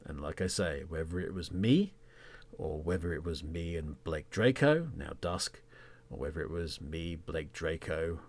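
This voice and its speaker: A man hosting a podcast, talking reflectively in a measured, conversational way, in short phrases. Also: very deep voice, a little mellow. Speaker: podcast host and presenter.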